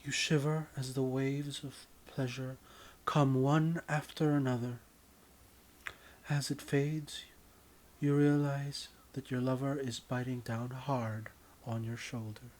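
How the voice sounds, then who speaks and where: Slow, deliberate male story narrator. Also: medium pitch, level tone, a little mellow. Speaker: writer and narrator. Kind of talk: scripted second-person story narration.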